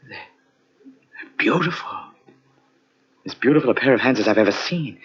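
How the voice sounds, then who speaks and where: A man's voice: medium pitch, expressive, moderate pace, slightly rough. An actor playing an obsessed, half-mad pianist who lost his hand, intense and theatrical in a vintage radio mystery. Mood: slyly intent. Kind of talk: vintage radio mystery acting with first-person narration. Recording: muffled vintage radio drama on cassette.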